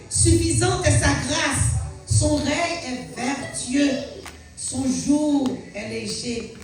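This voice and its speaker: A woman declaims a sacred poem with solemn emphasis. Her voice is high and her phrases come slowly and deliberately. The sound is heavily reverberant, as if spoken in an echoing room. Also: a little mellow. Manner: solemn, emphatic, declamatory, repeated rhetorical questions. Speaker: reciter of a religious poem. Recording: very echoey room, distant mic.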